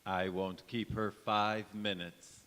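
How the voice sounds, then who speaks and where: A man's voice: on the low side, level tone, takes it slowly, a bit bright, clean tone. A man acting a devoted young bridegroom, earnest and courtly, in an old-time radio romance on a stage. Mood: cheerful. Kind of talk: golden age radio drama acting. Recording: echoey church hall stage recording.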